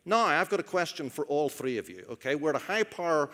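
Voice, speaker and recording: A man's voice: a little high, lively intonation, fast talker, fairly bright tone. A man moderating a conference panel, chatty and enthusiastic, asking the panelists questions. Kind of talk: panel discussion. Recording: reverberant conference hall.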